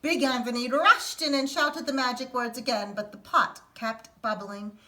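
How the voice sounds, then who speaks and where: A woman teacher reading a picture book aloud to children in an expressive storytelling manner. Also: on the high side, normal speed. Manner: expressive, sing-song storytelling.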